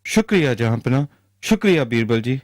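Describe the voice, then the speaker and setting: A man playing a humble, honest, pleading merchant in debt in an animated moral story. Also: medium pitch, normal speed, no echo, natural voice. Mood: grateful. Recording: music bed behind the voice.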